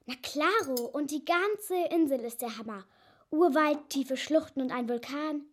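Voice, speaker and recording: A woman's voice: high-pitched, unhurried. A woman acting a bold, adventurous schoolgirl in a children's radio play, cheeky and insistent. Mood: excited. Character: bold, adventurous schoolgirl longing to sail with pirates.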